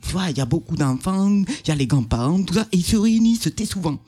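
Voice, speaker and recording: A man playing an excitable Chinese caricature in a comic radio phone-in sketch, gushing and repeating himself as he tells jokes. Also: sits high, fast talker. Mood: amused storytelling. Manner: gushing, repeats phrases emphatically.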